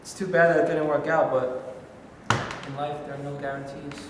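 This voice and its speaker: An actor in a staged play reading, playing a pushy, persuasive middle-aged uncle who hesitates with fillers. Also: male voice, a little high, flat delivery, normal speed, background noise, lightly acted. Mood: coaxing. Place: large rehearsal studio. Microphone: far camera. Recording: roomy echo from a far camera.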